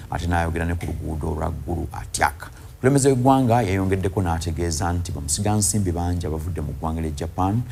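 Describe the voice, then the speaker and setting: A man's voice: low-pitched, vocal fry, a little mellow, a little hiss or hum. A man with a Ugandan accent reading scripted news reports as a reporter.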